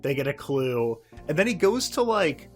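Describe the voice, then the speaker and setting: A man co-hosting a podcast, recapping in a casual, halting, profane and sardonic way. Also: a little high, sing-song, faint music behind. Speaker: podcast co-host. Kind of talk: casual episode recap chat.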